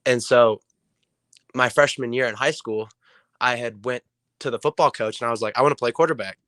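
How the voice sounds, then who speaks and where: A hoarse young man with a raspy voice, a player answering interview questions in a rambling way with many ums. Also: mid-range voice, brisk, fairly bright tone, dry recording. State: hoarse from illness. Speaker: player guest.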